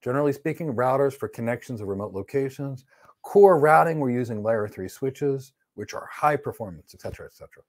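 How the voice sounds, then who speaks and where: A middle-aged man with a voice of middling pitch runs a live networking class. He is blunt and emphatic and answers each question matter-of-factly. He speaks from an indoor studio to a camera framed at chest height. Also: expressive, fairly quick. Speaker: networking instructor. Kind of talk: live-stream Q&A teaching. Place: indoor studio with virtual backdrop.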